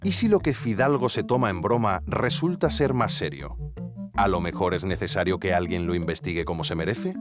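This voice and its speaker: A man's voice: low voice, animated, over music. An actor playing an earnest male podcast investigator, narrating a scripted investigation and asking questions. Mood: measured, intrigued. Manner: hesitant when questioning, measured when narrating.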